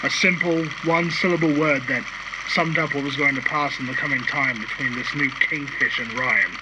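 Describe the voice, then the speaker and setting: Guy doing gruff brooding british working bloke, radio drama, noisy background. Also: medium pitch, steady tone, normal speed, natural voice. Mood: brooding, grim. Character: gruff, world-weary British working man narrating his story. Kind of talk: radio drama dialogue and narration. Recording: noisy radio-style ambience.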